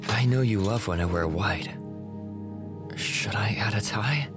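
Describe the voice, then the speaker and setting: An actor playing an obsessive, volatile male captor who swings from soft murmurs to screaming rage. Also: on the low side, a little slow, over music, lightly acted. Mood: tender. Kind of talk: horror monologue acting.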